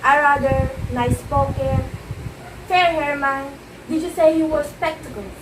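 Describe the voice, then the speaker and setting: A woman's voice: high-pitched, fairly even intonation, measured pace. Proud, condescending stage diva, played by a woman, formal amateur theatre delivery, distant mic, noisy recording. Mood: cool, composed. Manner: theatrical, haughty, formal diction. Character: proud, poised glamorous stage actress, amused and condescending. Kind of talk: amateur one-act stage play dialogue. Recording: echoey room, distant mic, clear noise.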